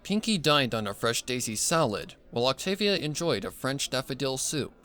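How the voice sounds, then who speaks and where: A man's voice: medium pitch, big pitch swings, moderate pace, sharp tone. A man reading a scripted story aloud as narrator. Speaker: narrator, fan fiction reader.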